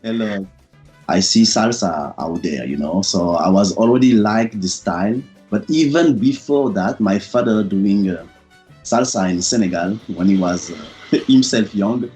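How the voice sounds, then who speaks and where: A man interviewed about his past, speaking hesitantly with many pauses and small laughs. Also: on the low side, mellow. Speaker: interview guest. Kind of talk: casual interview answers. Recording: faint music behind.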